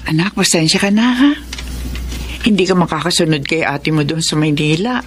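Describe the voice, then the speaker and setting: A woman's voice: deep voice, normal speed, a little hiss or hum, natural voice. A woman playing a caring middle-aged rural mother in a Filipino radio drama. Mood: tender, apologetic.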